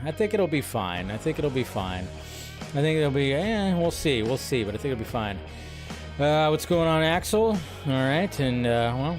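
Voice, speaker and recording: A man hosting a casual live stream from home, chatting loosely with viewers and reading their comments, with a bit of mild swearing. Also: medium pitch. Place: at home by a window. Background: faint intro music.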